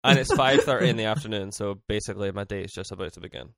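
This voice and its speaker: A man's voice: lowish voice, rapid-fire, gravelly, a bit bright, dry recording. A young man with a mild Scottish accent, chatting as a podcast co-host, blunt and dry, nasal from a cold. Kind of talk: casual chat.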